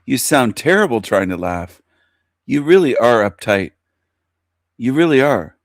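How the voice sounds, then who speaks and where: A man's voice: mid-range voice, big pitch swings, a little slow. A man giving scornful commentary, mocking and sneering at a rival's clip. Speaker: commentary youtuber.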